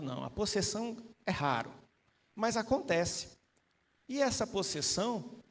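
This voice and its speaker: High-voiced man giving a chatty spiritist talk. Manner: conversational, asks frequent rhetorical tag questions. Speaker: spiritist panel guest. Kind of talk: casual spiritist teaching talk.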